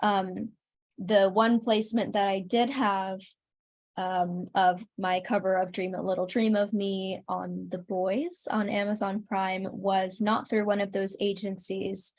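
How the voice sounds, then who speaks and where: A woman's voice: mid-range voice, flat delivery, unhurried, a little mellow. A woman chatting casually as an interview guest, earnest and modest in the way she talks. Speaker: interview guest, singer and content creator.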